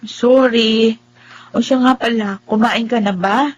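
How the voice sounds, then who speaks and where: An actress playing a gentle, devoted blind young wife in a radio drama, speaking tenderly and pleadingly. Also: female voice, on the high side, fairly even intonation, a little slow, highs cut off. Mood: eager, tender. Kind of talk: radio drama acting with first-person narration.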